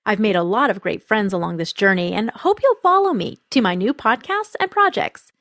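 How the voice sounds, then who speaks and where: A woman's voice: mid-range voice, lively intonation, moderate pace, a bit bright, dry recording, studio quality. A woman reading scripted narration for podcasts and radio, as a host and reporter.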